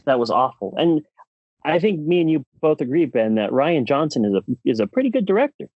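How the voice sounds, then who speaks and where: A man's voice: a little high, big pitch swings, brisk, no echo, dull audio. A man co-hosting a casual film discussion podcast, speaking in an opinionated, emphatic way. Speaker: podcast co-host.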